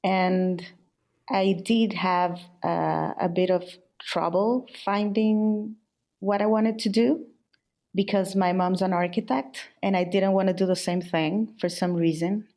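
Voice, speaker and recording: A woman's voice: on the low side, fairly even intonation, slow pace, dark tone. Candid middle-aged woman from El Salvador. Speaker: guest, furniture and interior designer. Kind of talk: conversational interview answers.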